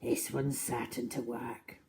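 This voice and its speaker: A woman's voice: very deep voice, level tone, slow pace, a little mellow, slight background noise, voice pushed down, exaggerated voice. A woman voicing a huge, greedy, scheming male crocodile in a children's storybook, sly and self-satisfied.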